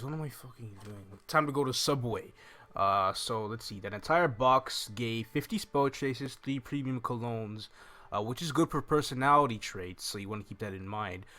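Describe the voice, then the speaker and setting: A man's voice: medium pitch, sharp tone. A young man hosting a game walkthrough in a casual, rambling way, thinking aloud as he goes. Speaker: gaming video host. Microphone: microphone on a stand close in front of him. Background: game music.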